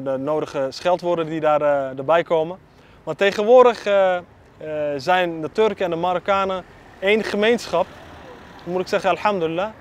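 A middle-aged man with a Dutch accent giving personal testimony, hesitant and often pausing. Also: a little high. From Netherlands. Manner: hesitant, frequent pauses. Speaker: interviewee.

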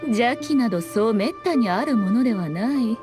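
A woman's voice: a little high, animated, natural voice. A woman voicing a sly, haughty fox shrine priestess in a game, speaking in an archaic, lofty manner. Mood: composed, dismissive. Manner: archaic, lofty, unhurried speech. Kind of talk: voiced game dialogue. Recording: game music behind the voice.

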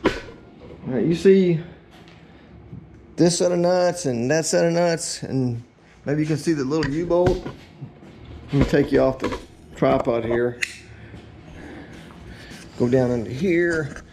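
Middle-aged man, fairly high pitch, informal muttered workshop explaining, echoey indoor camera sound. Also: takes it slowly, pauses often. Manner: folksy, informal, muttering to himself while working. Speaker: DIY vlogger. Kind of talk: casual hands-on how-to chat to camera. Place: indoor home garage workshop. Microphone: action camera, on tripod or handheld, varying distance. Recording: roomy echo, clear noise, handling clicks.

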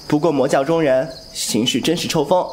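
A man's voice: on the high side, normal speed, a little hiss or hum, close to an everyday voice. A man playing a cheeky, candid young swordsman in a Chinese audio drama, with a playful, casual manner. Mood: playful, teasing. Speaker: voice actor.

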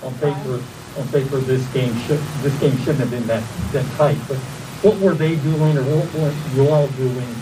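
A man's voice: medium pitch, steady tone, moderate pace, recorded from a distance. Male reporter in a noisy press room. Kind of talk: asking press conference questions.

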